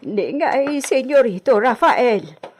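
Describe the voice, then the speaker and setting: Fretful high-voiced countrywoman. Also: very animated, takes it slowly, a little mellow, slight background noise. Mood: wistful. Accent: Filipino. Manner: plaintive, exclaiming. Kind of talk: radio drama acting.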